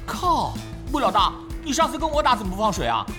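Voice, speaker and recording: A woman playing a cocky, chatty teenage boy gamer in an audio drama, boisterous and quick to whine in protest. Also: fairly high pitch, huge pitch swings. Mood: whining, insistent. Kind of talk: radio drama acting. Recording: loud music behind.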